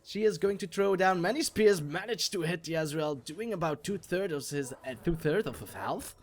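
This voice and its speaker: Man shoutcasting a game replay. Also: fairly high pitch, moderate pace. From Belgium. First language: not English. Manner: jokey, self-mocking, rises sharply on exciting plays. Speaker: esports shoutcaster. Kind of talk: solo game commentary over a replay.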